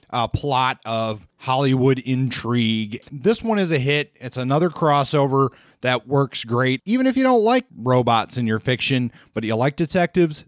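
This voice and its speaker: A man's voice: mid-range voice, no echo, clean studio sound. A man hosting a podcast, casually reviewing crime novels with many fillers and small restarts. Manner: relaxed, hesitant with many fillers. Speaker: podcast host reviewing books. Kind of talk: casual book review talk.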